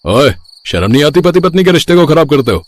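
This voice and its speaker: A man voicing a stern, scolding police inspector who barks at wrongdoers in an animated story. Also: on the high side, quick pace, no room sound, voice pushed up. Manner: barking, scolding.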